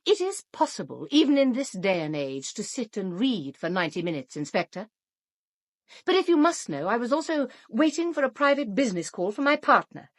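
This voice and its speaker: An actress playing a haughty, defensive, sharp-tongued suspect in a radio play, with an arch, prickly manner. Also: female voice, on the high side, sing-song, fairly quick, no room sound, clean studio sound. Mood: prickly, sarcastic.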